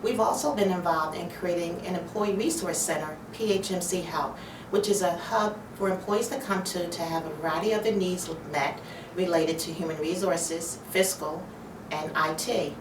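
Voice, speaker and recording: A woman with a lowish voice lays out her points in a clear, orderly, businesslike way. She speaks from back in an echoey room, and clear background noise runs underneath. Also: moderate pace, far from the mic. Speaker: human resources staff. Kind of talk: prepared interview statement. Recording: roomy, off-mic, noisy background.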